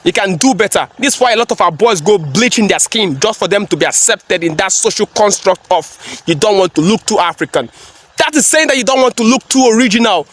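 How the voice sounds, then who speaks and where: A man's voice: high voice, expressive, a little fast. A man giving a preachy, emphatic reaction commentary, repeating phrases for effect. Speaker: commentary vlogger.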